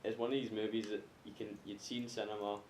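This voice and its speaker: A man chatting casually about films on a podcast in a halting way, swearing freely. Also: lowish voice, level tone, a little mellow. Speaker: podcast panelist. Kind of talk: casual film chat. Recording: echoey room, faint noise.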